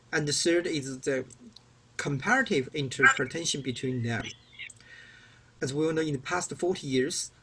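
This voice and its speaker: A man with a Chinese accent presents his research in a careful, formal way, clearly reading from a prepared script. His voice sits a little high for a man, and faint noise hangs behind it. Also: pushed and bright, low-quality recording. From China. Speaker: guest researcher. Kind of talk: prepared presentation read aloud.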